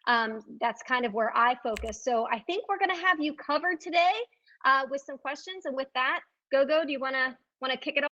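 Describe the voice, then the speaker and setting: Upbeat high-voiced woman, lo-fi sound. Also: a little fast, pushed and bright. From Richmond, Virginia. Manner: upbeat, folksy, enthusiastic. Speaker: real estate agent, panel speaker. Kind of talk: conference panel talk. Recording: dull lo-fi sound.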